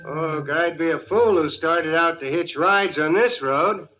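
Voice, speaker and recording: An actor playing a folksy, chatty American gas station attendant in a 1940s radio drama. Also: male voice, fairly high pitch, normal speed, natural voice. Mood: easygoing. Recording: dull, noisy old radio recording.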